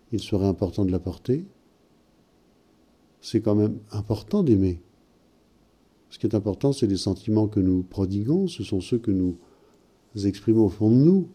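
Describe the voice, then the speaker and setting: Male host, calm and probing in his questions, deep dark tone. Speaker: host interpreting dreams. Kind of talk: counselling talk.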